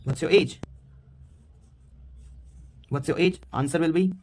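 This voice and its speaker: A nineteen-year-old man with an Indian accent, teaching a beginner German lesson in a mix of Hindi and English, halting and repeating himself with many fillers. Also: fairly high pitch, darkish tone. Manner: slow, halting delivery with many fillers and repetitions.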